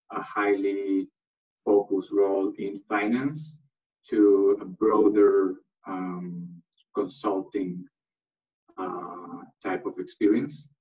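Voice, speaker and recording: A man's voice: on the low side, far from the mic, lo-fi sound. A young man from Chile answering interview questions, speaking hesitantly with many fillers and restarts. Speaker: interview guest, MBA graduate.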